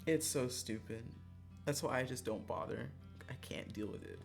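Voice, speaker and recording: A livestreamer chatting casually to viewers in an animated, exasperated way, swearing and laughing often. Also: medium pitch, moderate pace, gravelly, soft background music. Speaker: livestreamer, gaming streamer.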